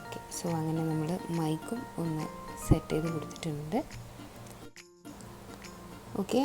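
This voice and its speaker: Woman, Malayali accent, slightly low voice, step-by-step demonstrator, conversational, English terms mixed in, music clearly playing underneath. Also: dark tone. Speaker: presenter of a product installation tutorial. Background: clearly audible background music.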